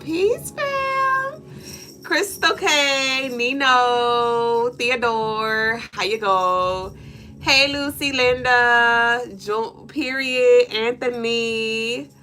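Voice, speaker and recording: A woman co-hosting a casual livestream, congested and nasal, lively and playful as she greets viewers by name. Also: high voice. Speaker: livestream co-host.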